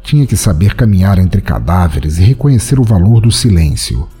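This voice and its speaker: A man with a Brazilian accent, hosting and narrating a scripted podcast audio drama in a theatrical, deliberate way. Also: low voice, very animated, breathy edge. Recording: soft music behind.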